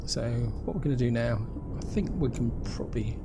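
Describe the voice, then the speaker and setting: Guy with a cold chatting over his gameplay, kinda gravelly, big engine rumble behind him. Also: brisk. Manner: relaxed, explains step by step, often restarts phrases. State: has a cold. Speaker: gameplay video host. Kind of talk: casual gameplay commentary. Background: loud vehicle and engine rumble from the game.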